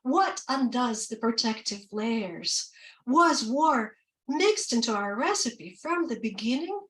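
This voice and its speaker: A woman from Ukraine reading her poems slowly and deliberately, with quicker, chattier asides between them. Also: a little high, lively intonation, fairly bright tone, recorded from a distance, rough recording. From Ukraine, former Soviet Union. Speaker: poet and translator. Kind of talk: poetry reading with spoken asides.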